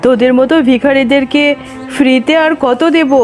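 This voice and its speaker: A woman's voice: high voice, steady tone, moderate pace, darkish tone, natural voice. A woman playing a stingy, haughty tigress mother in a children's cartoon, scolding with scorn. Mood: angry, dismissive. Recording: music behind the voice.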